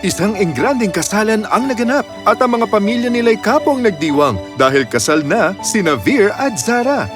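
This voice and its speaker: A man's voice: sits high, sing-song, moderate pace, few pauses, over music. A man narrating a scripted children's fairy tale with a dramatic storytelling delivery.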